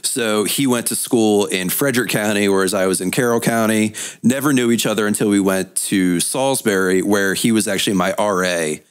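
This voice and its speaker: Guy in his twenties from maryland, lowish voice, just chatting on a podcast. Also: brisk, hardly any pauses, clear, resonant tone. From Maryland, United States. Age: 20s. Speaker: podcast panellist. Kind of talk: casual chat.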